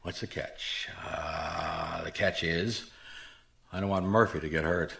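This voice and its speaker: An actor playing a shrewd, skeptical private detective in a radio mystery, with a blunt, wry, questioning manner. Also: male voice, low voice, fairly even intonation, slow, vocal fry, pushed and bright, breathy edge, full character voice. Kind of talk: radio mystery drama acting.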